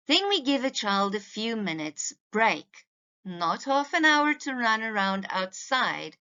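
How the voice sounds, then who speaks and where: A woman's voice: medium pitch, bright, forceful tone. Woman teacher doing a slide talk for parents, takes her time, emphatic, bit muffled lo-fi audio. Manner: didactic, emphatic, addressing listeners directly. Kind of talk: slide presentation lecture, instructional.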